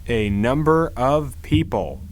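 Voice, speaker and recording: A man reading English words and storybook narration from a script slowly and carefully, with deliberate, measured enunciation. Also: medium pitch, lively intonation, slight background noise. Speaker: English reader for a vocabulary lesson.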